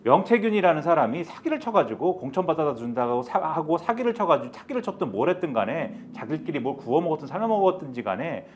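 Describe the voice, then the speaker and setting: High-voiced, emphatic man giving political analysis. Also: big pitch swings, fast, hardly any pauses, slight background noise. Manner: emphatic, step-by-step, rhetorical questions. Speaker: political panelist.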